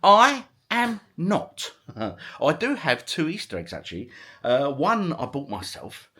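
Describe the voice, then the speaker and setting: A man's voice: fairly high pitch, wildly swooping pitch, takes it slowly, sharp tone, husky. A middle-aged man chatting casually to his vlog viewers, rambling along in British slang with chirpy greetings. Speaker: vlogger and retro gaming channel host.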